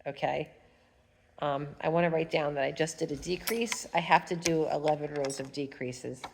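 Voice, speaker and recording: A woman's voice: low voice, fairly even intonation, moderate pace, gravelly, a little hiss or hum. A woman with a stuffy nose, chatting casually at home while showing her knitting, humming to herself as she works. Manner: chatty, rambling, hums while working. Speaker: fiber arts vlogger. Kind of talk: casual knitting tutorial chat.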